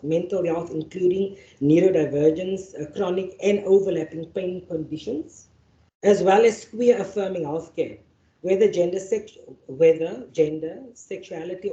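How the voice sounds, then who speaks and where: Woman, Afrikaans mother tongue, lowish voice, mellow tone, reads formal remarks with restarts, roomy hall. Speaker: panel facilitator. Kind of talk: formal speech and speaker introductions. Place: university lecture venue. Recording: echoey hall, dull sound.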